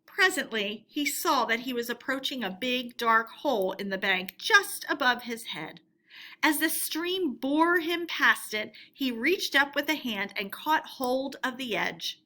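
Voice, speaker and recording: A woman storyteller reading a storybook aloud in an expressive narrating style. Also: high voice, a little slow.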